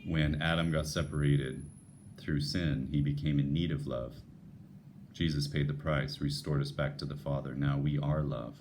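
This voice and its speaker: A man with an unusually deep, radio-like voice, talking earnestly and musing to his viewers about faith, with frequent restarts. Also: fairly even intonation, moderate pace, a little mellow, a little hiss or hum. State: voice lowered by a passing illness. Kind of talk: unscripted devotional talk to viewers.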